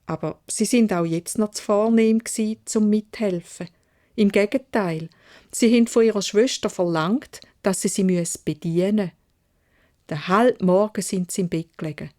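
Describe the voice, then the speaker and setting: A woman's voice: mid-range voice, normal speed, a little mellow. A woman with a Swiss German accent narrating a children's fairy tale expressively in dialect, as a storyteller.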